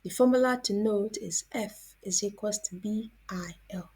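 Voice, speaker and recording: A woman presenting a scripted educational narration as a science teacher, deliberate and explanatory, posing questions and then answering them. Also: medium pitch, a little mellow, slightly rough.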